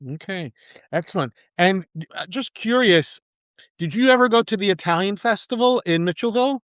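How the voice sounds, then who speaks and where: A man hosts an interview podcast with a smooth, resonant voice that sits a bit high. He delivers in a measured, formal manner. The sound is close and dry, with no room around it. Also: animated, fairly bright tone, no echo. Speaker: podcast host. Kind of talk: scripted intro then interview.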